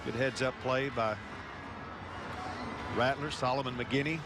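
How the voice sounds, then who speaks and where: A man's voice: medium pitch, fairly even intonation, unhurried, music in the background. A man giving folksy, conversational color commentary on a live football game. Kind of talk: live sports analysis commentary. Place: football stadium broadcast position. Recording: loud stadium noise behind the voice. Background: loud stadium ambience.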